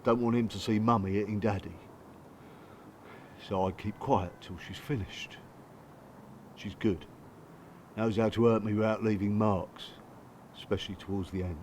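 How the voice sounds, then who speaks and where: A man's voice: fairly low pitch, steady tone, normal speed, long pauses, a little mellow, close to an everyday voice. Actor playing a wounded English father, noisy background. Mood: weary, hurt. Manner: colloquial, blunt, emotionally strained storytelling. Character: wounded working-class father abused by his wife, bitter, confessional. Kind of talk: radio drama dialogue and monologue.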